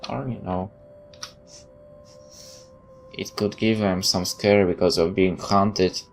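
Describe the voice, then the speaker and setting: A man's voice: low-pitched, fairly even intonation, slow, faint music behind. A man playing through a game, reading its text aloud and adding sarcastic asides. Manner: sarcastic, interjecting quips. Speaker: let's player.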